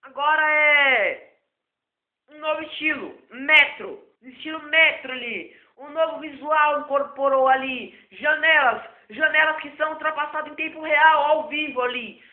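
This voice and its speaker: Hoarse man, very high voice, rambling tutor, tinny and echoey. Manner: rambling and repetitive. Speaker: self-taught computer tutor. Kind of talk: improvised spoken tech lesson. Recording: echoey, phone-like lo-fi recording.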